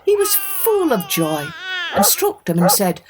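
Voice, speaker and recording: A woman's voice: very high-pitched, wildly swooping pitch, measured pace, background noise. A woman narrating a scripted children's fairytale expressively, as the host of a storytelling podcast. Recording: music under the voice.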